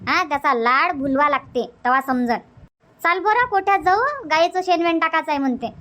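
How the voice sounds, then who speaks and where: A woman's voice: high voice, fairly quick, pushed and bright. A woman voicing an older, indulgent but bossy village grandmother in a cartoon comedy, repetitive, with a Varhadi Marathi accent.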